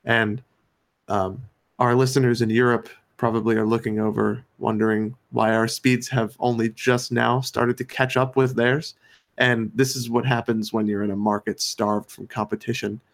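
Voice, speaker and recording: An American man co-hosting a podcast, giving his opinions in an earnest, somewhat halting explanatory way. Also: mid-range voice, little pitch movement, vocal fry. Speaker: podcast co-host.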